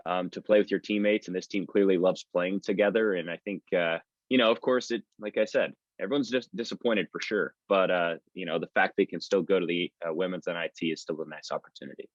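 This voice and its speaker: A man's voice: sits low, steady tone, fairly quick, no echo, lo-fi sound. A man speaking as a guest sports announcer in a conversational interview, giving earnest, wordy answers. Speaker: sports radio play-by-play announcer, podcast guest.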